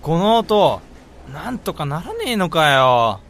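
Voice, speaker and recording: A man plays a grumbling senior office worker in a radio drama. His fairly high voice swings widely in pitch at an unhurried pace, with rough, casual phrasing. There is clear noise behind him. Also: big pitch swings, fairly bright tone, exaggerated voice. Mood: grumbling, exasperated. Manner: rough, casual, complaining speech. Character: blunt, grumbling male senior office colleague, work-shy.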